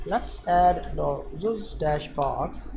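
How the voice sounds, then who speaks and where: A man presenting an unscripted coding screencast, thinking aloud in a halting way as he works. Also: a little high, creaky, mellow, slight background noise. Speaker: programming tutorial presenter.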